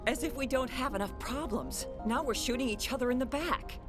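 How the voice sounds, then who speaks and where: A woman's voice: high-pitched, a little fast, over music, natural voice. An actress playing a resolute middle-aged colony scientist and mother in a dramatic video game. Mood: defiant.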